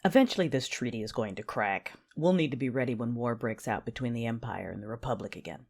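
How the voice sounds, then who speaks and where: Woman acting imperious low-voiced female Sith lord. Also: lively intonation, fast talker, a little mellow, heavily acted. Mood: businesslike, resolute. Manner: imperious, seductive. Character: commanding, coy female Sith lord, cool and ambitious. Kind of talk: sci-fi audio drama acting.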